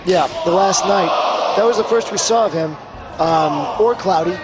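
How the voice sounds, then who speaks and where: A young man, a wrestler, doing color commentary at a wrestling show, hoarse from screaming and joking around. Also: high-pitched, a little slow. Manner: joking, excitable, rambling. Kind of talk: live play-by-play commentary. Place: wrestling arena commentary desk. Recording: loud arena crowd and noise.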